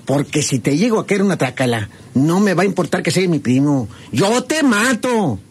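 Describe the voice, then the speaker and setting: A man's voice: fairly high pitch, big pitch swings, moderate pace. A man playing a suspicious, grumbling treasure-hunting outlaw with a rural Mexican accent in a radio serial. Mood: angry, threatening. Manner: folksy, colourful, grumbling. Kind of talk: old Spanish-language radio serial acting. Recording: noisy old radio drama recording.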